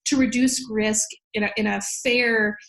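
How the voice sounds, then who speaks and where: A woman's voice: mid-range voice, fairly even intonation, unhurried, a bit bright. An American woman speaking as an expert guest in an interview, giving articulate, organized explanations in plain terms with examples. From United States. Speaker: law professor, podcast guest.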